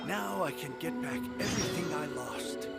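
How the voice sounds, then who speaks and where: A man voicing an anxious, haggling merchant in a fantasy video game, stammering and excitable, with a creaky edge. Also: a little high, normal speed. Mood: grateful. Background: music.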